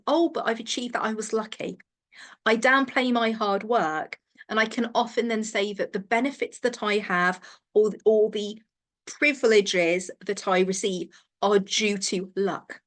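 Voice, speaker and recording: A middle-aged woman with an English accent and no strong regional accent, leading a counselling training workshop and talking thoughtfully, often pausing to search for her words and restarting her sentences. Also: medium pitch, fairly bright tone. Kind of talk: live training workshop talk.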